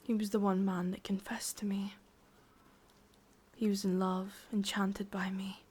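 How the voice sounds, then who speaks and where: Breathy whispering English witch, played by a woman. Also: mid-range voice, little pitch movement. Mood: smug, knowing. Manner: soft, intimate whispering, teasing and unhurried. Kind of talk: whispered ASMR roleplay.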